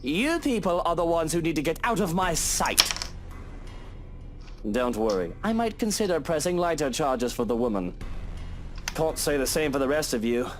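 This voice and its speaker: A man's voice: a little high, expressive, moderate pace, over music, pitched above the performer's own voice, lightly acted. A man voicing a pompous, sneering wealthy homeowner with a theatrical, mocking manner. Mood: scornful.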